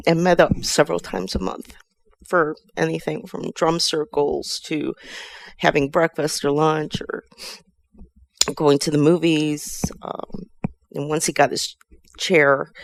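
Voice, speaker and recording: A middle-aged woman from Chicago with an American accent, giving a halting, emotional spoken tribute to a friend. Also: sits low, vocal fry, no echo. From Chicago, USA. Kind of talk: heartfelt spoken tribute, personal reminiscence.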